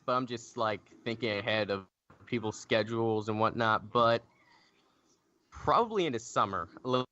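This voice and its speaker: A man chatting casually as a podcast guest over a low-fidelity internet voice call. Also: sharp tone, lo-fi sound. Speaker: podcast guest, fan dub editor. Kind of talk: casual chat.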